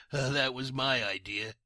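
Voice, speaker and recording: An actor playing a bossy, self-satisfied thug in a noir radio drama, gruff, impatient and raspy. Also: male voice, medium pitch, sharp tone, dry recording, clean studio sound. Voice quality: rough, raspy.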